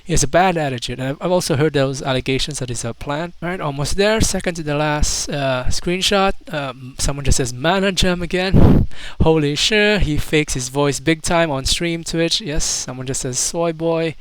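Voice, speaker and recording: A man with a fairly high, smooth and clear voice gives casual online commentary. He reads comments aloud and adds incredulous, mocking asides in a hesitant way. The sound is close and dry. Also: moderate pace, hardly any pauses, no room sound. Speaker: YouTube commentator.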